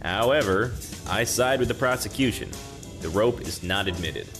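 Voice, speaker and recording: Authoritative judge, animated delivery. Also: male voice, on the low side, moderate pace, music in the background. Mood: firm. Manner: authoritative, formal. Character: firm, even-tempered courtroom judge keeping rival lawyers in line. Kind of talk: audio comedy drama acting.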